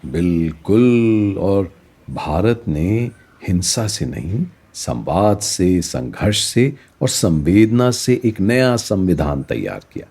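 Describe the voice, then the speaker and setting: An actor playing a learned teacher who explains history in a didactic, rhetorical way, mixing in English words, in an educational radio play. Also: male voice, sits low, very animated, slow pace, dark tone, husky, heavily acted. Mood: earnest.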